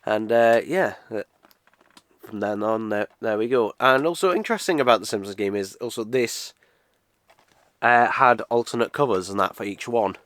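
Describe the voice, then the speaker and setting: A man's voice: fairly low pitch, moderate pace, fairly bright tone, no echo. A British man in his twenties with a cold, talking through a casual game unboxing review in a rambling way, full of uhs and restarts. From United Kingdom. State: nasal from a cold.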